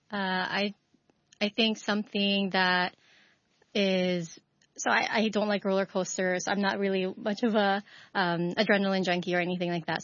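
A young woman from Hong Kong, a radio guest talking about community work, relaxed and soft-spoken with many pauses and fillers. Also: medium pitch, dry recording. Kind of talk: radio interview. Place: radio studio. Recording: dull dry studio sound.

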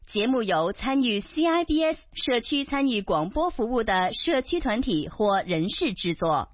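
A woman reading radio station promos and announcements in a lively, polished voice. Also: a little high, normal speed, no echo. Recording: dull broadcast sound over music.

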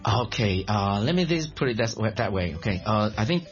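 A man from Malaysia, a guest speaking in a casual interview, animated and quick to laugh, often restarting his words. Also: lowish voice, muffled recording, soft background music. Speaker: guest, creative director and songwriter.

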